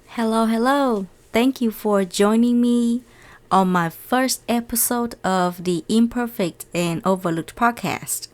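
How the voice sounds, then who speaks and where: Slow, earnest Vietnamese-accented woman. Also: a little high. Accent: Vietnamese with a light Southern US twang. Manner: earnest and heartfelt, non-native phrasing. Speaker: podcast host. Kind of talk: solo podcast monologue.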